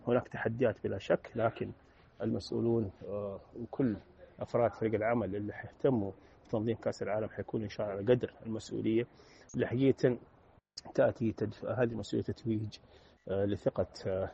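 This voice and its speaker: A man from Saudi Arabia with a Saudi accent, giving earnest formal commentary as an interview guest and filling pauses with drawn-out "aah" sounds. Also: lowish voice, creaky, a little mellow, lo-fi sound, a little hiss or hum.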